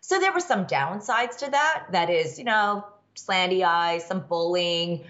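Candid, animated American woman, slightly muffled. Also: on the low side, unhurried. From United States, Midwest. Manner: candid, animated, many fillers and restarts. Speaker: guest expert, law professor. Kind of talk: interview answers.

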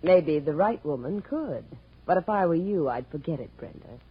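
Husky, catty radio sweetheart. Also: female voice, sits low, lively intonation, moderate pace, full character voice. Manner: sweetly sarcastic. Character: cool, catty, sharp-tongued detective's girlfriend. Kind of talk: 1940s radio crime acting. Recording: muffled old-time radio recording.

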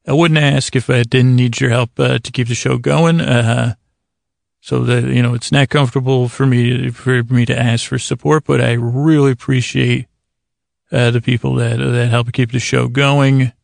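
Really creaky guy doing a rambly sleep podcast, halting, keeps repeating himself, dry close sound. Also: mid-range voice, flat delivery, dry recording. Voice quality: gravelly, creaky. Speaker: podcast host. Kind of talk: rambling bedtime monologue to help listeners sleep.

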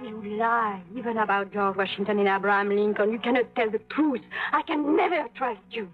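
An actress playing a proud young foreign woman in a 1940s radio drama, soft-spoken and emotional with formal phrasing. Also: mid-range voice, fairly quick, close to an everyday voice. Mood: upset. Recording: tinny old radio broadcast with music.

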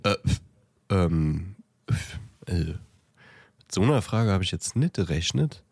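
A man's voice: low voice, expressive, takes it slowly, darkish tone, husky, no room sound, full character voice. A man playing an obliging, flustered post office clerk who stammers hesitantly in bemusement in an amateur audio drama.

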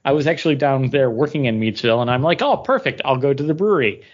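Animated male podcast co-host, casual. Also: mid-range voice, fast, muffled recording. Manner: animated storytelling, acting out dialogue. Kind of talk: casual banter and anecdotes.

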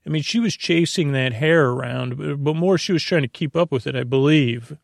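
A man hosting a bedtime podcast in a creaky, gentle, lulling voice, meandering hesitantly off into tangents. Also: a little high, normal speed, no echo, studio quality. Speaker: host of a bedtime-story podcast. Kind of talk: rambling sleep-podcast monologue.

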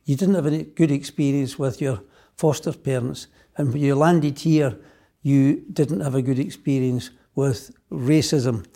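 A man's voice: mid-range voice, measured pace, gravelly, mellow. A man hosting an interview, recapping the guest's life story before putting a question to her. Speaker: host.

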